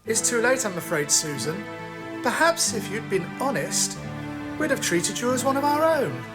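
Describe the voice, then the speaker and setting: A woman playing a gentle, forgiving young heir in an audio drama. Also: a little high, sing-song, moderate pace, over music. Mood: gentle, regretful.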